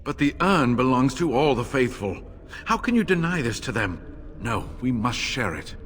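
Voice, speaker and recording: An actor playing an earnest, devout scholar in a video game, speaking in a halting, eager manner. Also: male voice, mid-range voice, expressive, fairly bright tone, slight background noise, close to an everyday voice. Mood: pleading.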